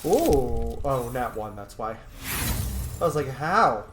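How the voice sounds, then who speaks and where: A man's voice: medium pitch, sing-song, slow pace. A man giving casual, rambling, geeky commentary as he plays a video game. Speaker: gaming streamer, player. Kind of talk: casual let's play commentary. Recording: roomy echo, faint game music. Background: faint game music.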